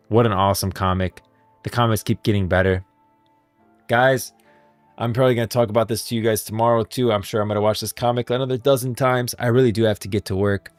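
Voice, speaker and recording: A man's voice: on the low side, dry recording. A man hosting a casual livestream, talking about game lore in an enthusiastic, emphatic way. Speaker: livestream host and video creator.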